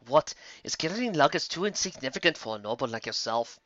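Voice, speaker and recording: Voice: mid-range voice, big pitch swings, fairly quick, fairly bright tone, dry recording, lo-fi sound. A reader voicing a snippy, sarcastic young mare with a cutting tone. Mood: scornful.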